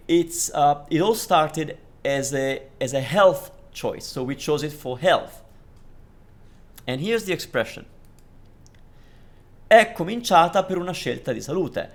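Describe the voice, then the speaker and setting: A man from Italy teaching Italian, explaining phrases with restarts and fillers, switching between English and Italian. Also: on the high side. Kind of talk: language lesson commentary.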